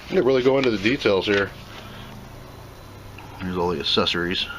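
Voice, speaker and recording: A man's voice: on the low side, brisk, noisy background. A plainspoken man giving an off-the-cuff, casual commentary as he reviews and unboxes a product.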